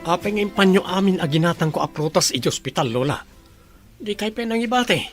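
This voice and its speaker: A man's voice: high voice, expressive, brisk, soft background music. A man voicing a blunt, complaining grown grandson in a radio drama. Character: grown grandson, resentful and demanding. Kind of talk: Ilocano radio drama acting.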